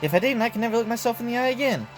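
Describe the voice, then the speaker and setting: A man's voice: extremely high pitch, lively intonation, rapid-fire, pitched above the performer's own voice. A man voicing a brash young female painter and swordfighter in game dialogue, with blunt, slangy, emotional tough talk. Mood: eager, fired up. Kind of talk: game dialogue read aloud. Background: background music.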